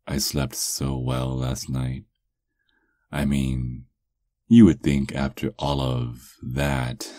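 An extremely deep-voiced vampire lover acted by a man, doting and playfully possessive. He murmurs very slowly and intimately in an ASMR roleplay. The sound is close and dry. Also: no room sound, full character voice. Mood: tender, teasing. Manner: slow, intimate murmuring with soft chuckles. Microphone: close microphone, dry.

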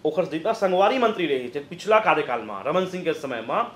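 High-voiced Chhattisgarhi man, explaining. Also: lively intonation, a little fast, echoey room. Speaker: news presenter and political analyst. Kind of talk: conversational political commentary. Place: indoor news studio, roomy sound. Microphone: lapel mic.

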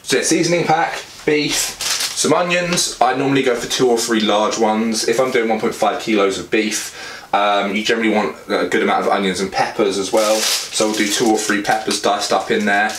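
A man's voice: fairly low pitch, steady tone, moderate pace. A young man with a British accent casually explains cooking to camera in an informal, plain-spoken way as a fitness vlogger. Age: young adult. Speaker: fitness vlogger and meal prep host. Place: small home kitchen, indoors. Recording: echoey kitchen, clear background noise.

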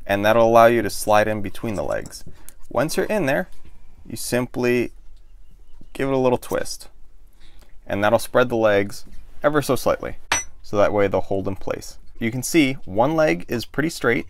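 A man in his thirties, with a low gravelly fry under his words, talks through a how-to in a calm, methodical way. He sits close to the camera in a small cabin, and the sound is dry and tight with no room echo. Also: normal speed. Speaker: sailing show host. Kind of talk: step-by-step how-to explanation. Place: small wood-paneled boat cabin, indoors. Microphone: camera close in front of the face.

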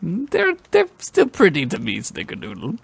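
A man's voice: high voice, wildly swooping pitch, moderate pace, creaky, a little hiss or hum. A man co-hosting a comedy podcast, trading playful, casual banter and improvised stories. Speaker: podcast co-host.

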